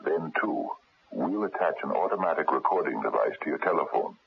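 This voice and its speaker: A gruff, methodical private investigator in a 1970s radio mystery. His voice is extremely low and full of gravel, with a husky edge. He probes in a businesslike, halting manner on a dull, lo-fi recording. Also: expressive, full character voice. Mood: businesslike, probing. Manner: hesitant, probing, businesslike. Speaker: radio drama actor.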